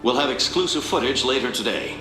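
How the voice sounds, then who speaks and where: A man's voice: medium pitch, fairly quick, over music, lightly acted. An actor playing a polished male news announcer reading a bulletin in a video game, in an echoing space. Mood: polished, promotional.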